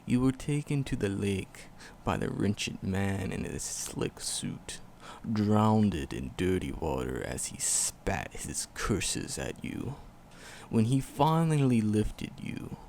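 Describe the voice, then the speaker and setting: A man playing an ancient, tender and sorrowful forest demon in an ASMR roleplay, with a gravelly voice and solemn, archaic storytelling. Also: fairly low pitch, darkish tone, a little hiss or hum, heavily acted. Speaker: voice actor in audio roleplay.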